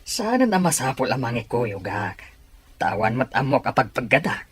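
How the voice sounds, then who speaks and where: An actor playing a tribesman and husband with a gentle, reassuring manner, in radio-style drama. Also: mid-range voice, sing-song, normal speed, slightly rough. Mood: calm, reassuring. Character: calm, reassuring husband and tribesman setting out riding. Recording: music under the voice.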